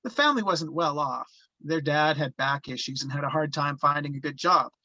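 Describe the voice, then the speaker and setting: A male actor voices a grown man narrating memories of his small-town youth in a screenplay. The voice is forward and bright, on the higher side, recorded very dry. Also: normal speed, no room sound, dull audio. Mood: reflective. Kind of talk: scripted first-person reminiscence.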